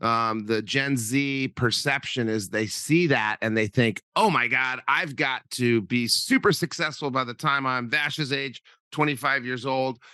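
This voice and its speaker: A man from the United States hosting a podcast interview, upbeat and joking, talking in quick bursts. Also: medium pitch, bright, forceful tone, no room sound. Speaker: podcast host.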